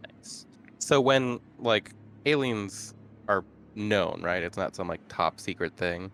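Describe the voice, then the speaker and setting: A man's voice: low-pitched, animated, takes it slowly, a bit bright, faint music behind. A man chatting casually as a player in a tabletop role-playing game. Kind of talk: casual chat.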